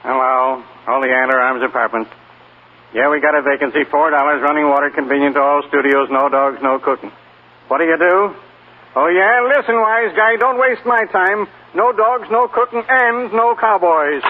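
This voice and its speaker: An actor playing a curt, sardonic rooming-house desk clerk in a 1940s radio drama. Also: male voice, a little high, moderate pace, natural voice. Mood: irritated. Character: brusque, wisecracking male rooming-house clerk. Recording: tinny reverberant 1940s radio recording.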